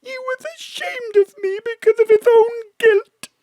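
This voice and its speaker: A woman's voice: very high-pitched, steady tone, unhurried, a little mellow, no echo, clean studio sound. An actress voicing an emotional young woman who longs for her father's love, speaking through tears in a pleading, confessional way. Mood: tearful. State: tearful. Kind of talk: audio drama acting.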